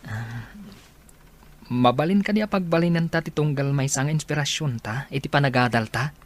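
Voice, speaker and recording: A man's voice: medium pitch, normal speed, close to an everyday voice. A man playing an earnest, hesitant young male student and suitor in a radio drama. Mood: shy.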